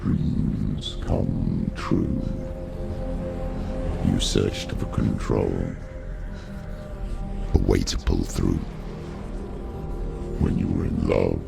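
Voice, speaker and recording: A man playing a cruel shadow spirit in a video game, menacing and ominous, with a growling, gravelly voice. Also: heavily acted. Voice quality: deep, growling, gravelly rasp. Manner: slow, ominous, incantatory delivery. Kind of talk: dramatic video game voice acting. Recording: loud music under the voice.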